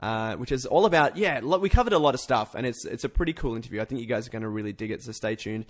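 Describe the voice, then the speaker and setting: Male podcast host, mid-pitched, informal pop culture chat, slangy and animated. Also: racing.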